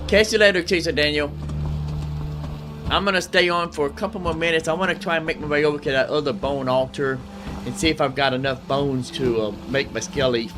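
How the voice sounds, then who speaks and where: A man's voice: on the high side, fairly even intonation, brisk, background noise. A man giving casual live gameplay commentary as a streamer, rambling and thinking aloud. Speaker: game streamer, host. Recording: game music behind the voice. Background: game music.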